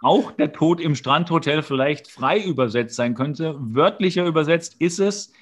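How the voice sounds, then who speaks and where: A man co-hosting a podcast in casual, humorous banter, often mock-serious and halting as he corrects himself. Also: on the high side. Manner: mock-serious, tongue-in-cheek. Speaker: podcast co-host.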